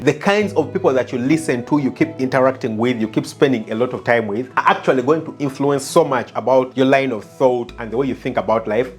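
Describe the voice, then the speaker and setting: A man from Uganda, a former doctor turned farmer, giving an earnest motivational talk and often asking "yeah?" to check in with his listener. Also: mid-range voice.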